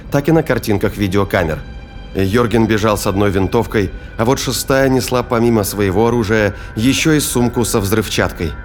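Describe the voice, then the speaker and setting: A man delivering scripted dramatic narration for a science fiction audio play. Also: on the low side. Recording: prominent music and battle effects behind.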